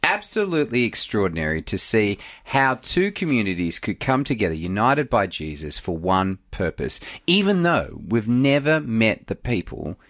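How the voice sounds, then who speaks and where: A man's voice: fairly low pitch, very animated, moderate pace, few pauses, fairly bright tone. A man hosting a church podcast, introducing his guest with enthusiasm. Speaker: podcast host.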